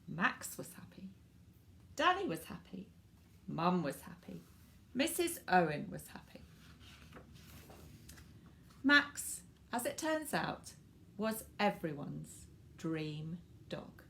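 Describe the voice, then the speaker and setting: A woman reading a picture book aloud to children in an expressive way, stressing words for drama and speaking directly to young listeners. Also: lowish voice, vocal fry, slight background noise. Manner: animated, dramatic emphasis with drawn-out words and hushed asides.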